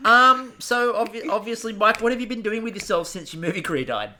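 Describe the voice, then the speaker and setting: A man's voice: high voice, a little fast, pushed and bright. A man co-hosting a comic recap podcast, chatting in a dry, teasing way. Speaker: podcast co-host.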